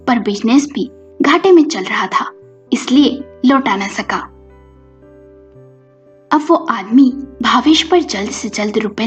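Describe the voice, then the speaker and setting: A woman's voice: a little high, slightly rough. A woman storyteller reading a scripted family story and acting out its dialogue. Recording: muffled recording with music behind.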